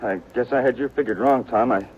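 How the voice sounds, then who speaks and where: A man's voice: mid-range voice, a little fast, narrow, tinny audio, lightly acted. An American man playing a proud, headstrong young cowboy in a 1950s radio western. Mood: contrite, puzzled. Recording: old radio recording with light noise.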